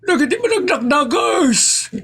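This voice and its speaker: A man's voice: extremely high pitch, very animated, measured pace, a bit bright, full character voice. A man voicing a bombastic old quack faith healer with an Ilocano accent, chanting theatrically in a comedy audio drama.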